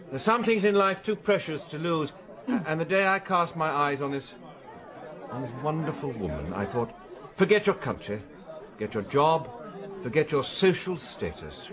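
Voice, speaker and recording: A man's voice: medium pitch, sing-song, normal speed, crowd noise, natural voice. An actor playing a genteel middle-aged retired army man in a radio drama, polite, fussy and slightly flustered. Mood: gushing, hammy.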